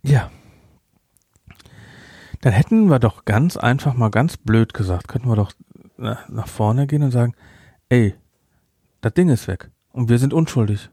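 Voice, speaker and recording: Male podcast co-host, mid pitch, halting and filler-heavy, dry close recording. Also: animated, dark tone, no room sound. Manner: hesitant, many fillers and restarts, jumps in quickly. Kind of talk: casual review chat.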